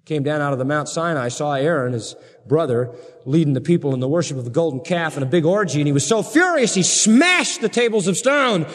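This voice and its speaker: A man's voice: on the high side, expressive, brisk. A man preaching an expository sermon, reading scripture and retelling it in an emphatic, colloquial, dramatic storytelling way. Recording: reverberant hall sound.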